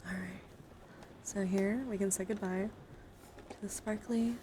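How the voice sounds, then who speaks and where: Softly whispering woman, ASMR stream. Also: mid-range voice, fairly even intonation, measured pace, noisy background. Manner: gentle, chatty, encouraging to viewers. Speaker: livestream host. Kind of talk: whispered ASMR, showing and reading out trading cards. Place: indoor livestream, hands-on camera.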